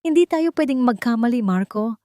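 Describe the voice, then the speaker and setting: A woman's voice: a little high, animated, brisk, warm tone, no echo, studio quality, pitched above the performer's own voice, natural voice. A woman voicing an anxious, ambitious, scheming wife in an audio drama, speaking urgently and insistently.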